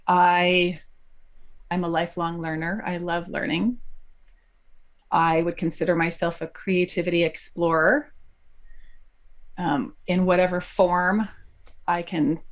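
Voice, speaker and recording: A middle-aged woman from New Jersey, a podcast guest speaking thoughtfully with many pauses and fillers. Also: fairly low pitch. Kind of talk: conversational interview.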